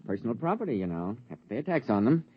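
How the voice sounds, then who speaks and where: Husky, clipped county official. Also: male voice, mid-range voice, very animated, racing. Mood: businesslike. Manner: dry, clipped, drops sentence openings. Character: brisk, matter-of-fact male county tax assessor. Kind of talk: mid-century radio drama acting. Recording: dull old radio recording.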